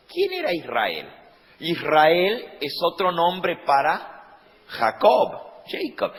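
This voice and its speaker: Slow-preaching man in an echoing hall. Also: mid-range voice. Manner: rising to loud emphatic peaks, asking the congregation questions. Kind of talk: sermon. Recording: reverberant hall.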